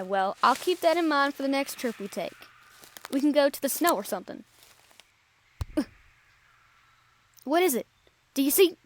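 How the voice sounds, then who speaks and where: A woman playing a brash, brave, wry treasure hunter in an audio roleplay, bantering informally with the listener. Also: sits high. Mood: weary, joking. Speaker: voice actor.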